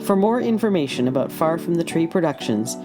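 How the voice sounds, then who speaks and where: A woman's voice: sits low, lively intonation, brisk. A woman reading a scripted closing announcement for an audio play. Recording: loud guitar music behind. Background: loud plucked guitar.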